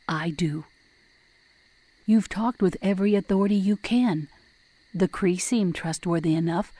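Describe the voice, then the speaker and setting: Actress as supportive wife, lowish female voice, dry close sound, reassuring, earnest, audio drama. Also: dark tone, dry recording. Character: supportive, hopeful wife, calm and gently persuasive.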